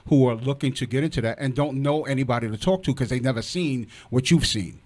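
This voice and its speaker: A man's voice: medium pitch, fast, darkish tone. A man hosting a conversational interview, often saying "you know" and chiming in with affirmations. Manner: frequent 'you know' and affirming interjections. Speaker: radio show host. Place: radio studio, indoors.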